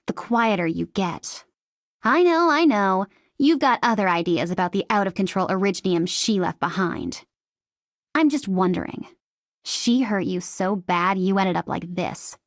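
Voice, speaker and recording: A woman's voice: a little high, a bit bright, no echo, clean studio sound. A woman voicing a cocky, bloodthirsty female mercenary in a video game, with a sarcastic, teasing and playfully menacing delivery. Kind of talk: video game character voice lines.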